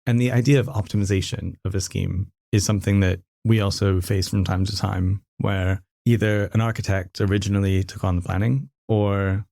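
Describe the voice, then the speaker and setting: A man's voice: lowish voice, steady tone, normal speed, gravelly, few pauses, a little mellow, no room sound, clean studio sound. A man hosting an interview podcast, asking questions in a friendly, conversational way. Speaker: podcast host.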